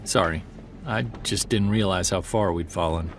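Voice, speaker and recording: A man's voice: deep voice, moderate pace, a little hiss or hum. A man playing an earnest, wry Sasquatch investigator, confiding in first person in an audio drama. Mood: wounded, sarcastic. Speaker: narrator and lead of an audio drama. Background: music.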